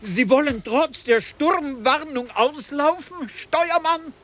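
An actor playing a courteous, deliberate harbour pilot in a radio drama. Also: male voice, extremely high pitch, fairly bright tone, a little hiss or hum, heavily acted. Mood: inquiring. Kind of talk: 1990s German radio play acting.

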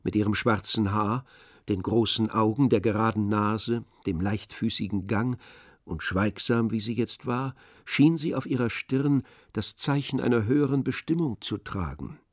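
A man's voice: fairly low pitch, normal speed, no echo, clean studio sound. A man narrating a literary radio play from a script.

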